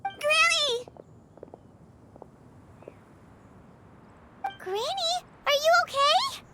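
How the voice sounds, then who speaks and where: An actress voicing a sweet, anxious, devoted grandchild in a game, earnest and pleading. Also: female voice, very high voice, slow, slight background noise. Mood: startled, worried. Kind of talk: dramatic game dialogue.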